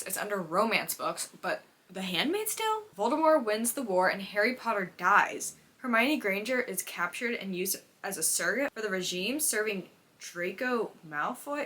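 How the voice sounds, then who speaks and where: A young adult American woman chatting casually in a reading vlog, with an expressive, gushing fangirl delivery and frequent use of "like". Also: medium pitch. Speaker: book vlogger.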